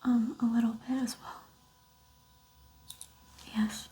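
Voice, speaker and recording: A woman answers softly as the patient in an ASMR exam roleplay. Her voice is on the high side and quite flat in pitch. She keeps replies brief, hushed and very slow. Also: rough recording.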